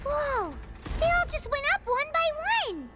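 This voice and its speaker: Squeaky, excitable little fairy girl. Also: measured pace, over loud music, full character voice. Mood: amazed. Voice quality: squeaky, cartoonish. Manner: excitable, exclaiming, refers to herself by name. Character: small childlike fairy companion girl, excitable, speaks in third person. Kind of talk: animated game voice acting.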